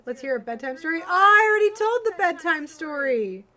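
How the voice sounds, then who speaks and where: High-voiced woman, chatty bedtime story streamer. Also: big pitch swings, fairly quick, bright, forceful tone. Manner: informal, chatty, addressing viewers directly. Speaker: livestream host. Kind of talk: casual livestream chat and children's bedtime story reading.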